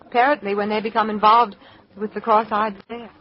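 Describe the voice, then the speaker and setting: A woman acting an anxious, sincere young lady in a vintage radio drama. She speaks politely and a little hesitantly at an ordinary pitch, and the sound is muffled. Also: little pitch movement, a little fast, close to an everyday voice. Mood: earnest, pleading. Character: earnest, anxious young woman drawn into intrigue. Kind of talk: 1940s radio mystery acting.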